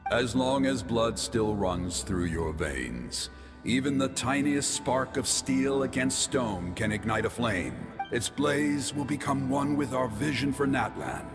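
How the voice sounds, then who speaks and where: A man's voice: medium pitch, animated, unhurried, lightly acted. A man voicing a solemn, noble ancient giant warrior in a video game, with stately, encouraging oratory. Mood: rousing. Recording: long reverb, music behind.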